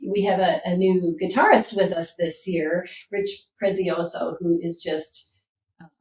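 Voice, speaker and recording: Band singer, woman, low voice, chatty, miked from a distance in a roomy space. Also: steady tone, unhurried, a little mellow. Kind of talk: casual interview chat.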